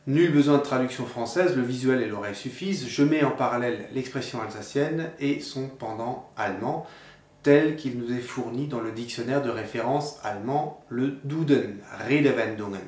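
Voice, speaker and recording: A middle-aged man explaining to camera at a desk in an indoor room, in a measured, didactic way, addressing the viewer informally. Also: medium pitch, a little mellow. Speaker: video lecturer on the dialect. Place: indoor room with books on a table. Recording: roomy echo.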